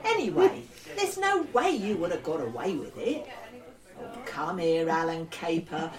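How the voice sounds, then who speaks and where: A woman's voice: fairly low pitch, big pitch swings, a little slow, a crowd in the background. A woman playing a cheerful older woman in a comedy drama.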